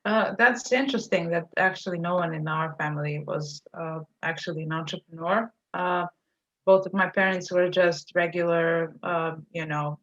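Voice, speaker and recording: A woman's voice: lowish voice, fairly even intonation, moderate pace, a bit bright, highs cut off. A woman from Serbia, a native Serbian speaker, talking casually as an interview guest, hesitant with many fillers. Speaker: agency owner, guest.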